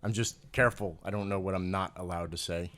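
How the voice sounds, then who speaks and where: A man's voice: sits low, fairly even intonation, fast, a bit bright. New York man in loose podcast banter. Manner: joking, swears freely, laughs often. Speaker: podcast co-host. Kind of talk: casual banter.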